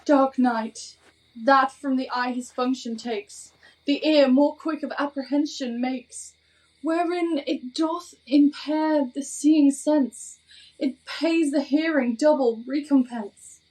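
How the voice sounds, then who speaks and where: A woman's voice: high voice, takes it slowly, a little mellow, low-quality recording. An actress playing a devoted, bewildered young woman in a Shakespearean audio drama, speaking verse earnestly and pleadingly. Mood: searching, plaintive.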